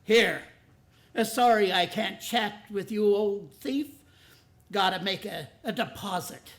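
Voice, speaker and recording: A man's voice: high-pitched, takes it slowly, a bit bright, exaggerated voice. A man playing an absent-minded, flustered old uncle in a radio play. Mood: jovial teasing. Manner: dithering, stammering, taunting when cheerful.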